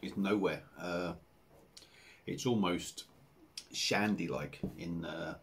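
A man in his forties with an English accent, chatting casually to camera as he reviews a beer at his home bar, rambling and relaxed with lots of fillers. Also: low-pitched, slow pace, a little hiss or hum. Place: home bar room with dartboard and signs.

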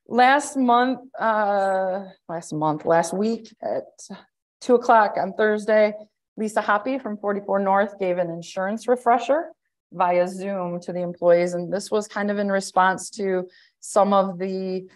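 A woman in her thirties with a loud voice, giving an administrator's report at a county board work session over a video call, talking conversationally with many fillers and restarts. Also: mid-range voice. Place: indoor office, joining a hybrid meeting. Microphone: webcam on a video call.

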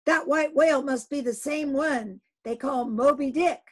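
A woman voicing an eager male harpooneer on a whaling ship, calling out loudly. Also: sits high, measured pace, pitched above the performer's own voice.

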